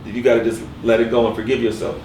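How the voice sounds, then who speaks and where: A man of ordinary pitch speaks earnestly in a casual podcast chat, often repeating words as he goes. He sounds distant from the mic in an echoey room. A faint air-conditioner hum sits behind him. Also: flat delivery, quick pace, a little mellow.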